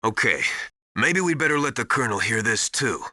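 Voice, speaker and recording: A stern military mentor for a video game. He has a middling pitch that moves a lot, and a sharp, forceful tone. He sounds insistent, deliberate and full of suspicion. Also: animated, no echo, studio quality. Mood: grave, suspicious. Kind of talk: dramatic video game voice acting.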